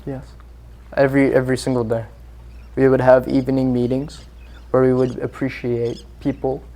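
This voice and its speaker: A man's voice: medium pitch, level tone, moderate pace, warm tone. A man who grew up in Singapore, talking as an interview guest in an earnest, rambling way with lots of fillers. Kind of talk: casual interview chat.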